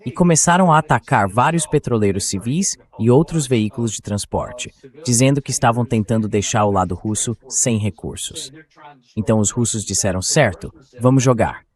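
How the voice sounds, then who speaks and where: A man's voice: mid-range voice, moderate pace. A man hosting a political discussion in a translated voice-over. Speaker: host.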